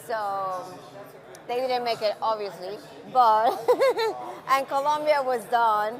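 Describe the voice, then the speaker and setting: A woman from Peru, a soccer fan giving a casual, halting street interview. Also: on the high side. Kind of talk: casual vox pop.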